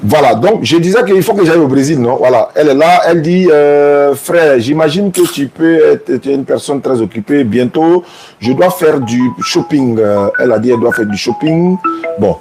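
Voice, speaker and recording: A man's voice: a little high, normal speed, darkish tone, faint music behind. A man hosting a live broadcast as a preacher, taking calls and peppering his talk with pious phrases. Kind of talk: live stream with phone calls.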